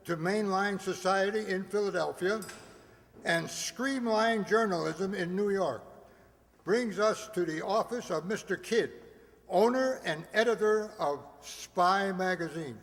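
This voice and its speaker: A man's voice: high-pitched, fairly bright tone. A man playing a polished, formal 1940s radio announcer, reading grand scripted narration. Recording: echoey hall with long reverb.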